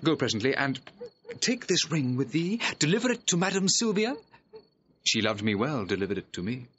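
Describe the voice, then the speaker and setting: A young gentleman master, impatient and lovelorn, declaiming classical verse for audio drama. His voice is sharp and animated, with a commanding air. The old recording sounds slightly muffled. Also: mid-range voice, moderate pace. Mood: commanding, earnest. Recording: dull, slightly noisy restored old recording.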